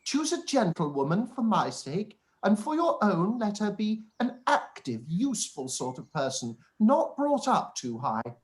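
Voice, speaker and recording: A man's voice: sits high, sing-song, unhurried, hardly any pauses. Guy playing a pompous smug young clergyman, stiff formal wordy period drama talk, oblivious. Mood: self-satisfied, earnest. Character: pompous, self-satisfied young clergyman making a proposal. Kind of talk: period drama live-read radio play.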